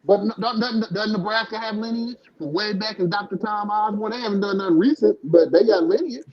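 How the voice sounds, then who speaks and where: Fairly high-voiced man, emphatic and repetitive, dull audio. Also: a little fast. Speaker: podcast co-host. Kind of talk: casual sports debate.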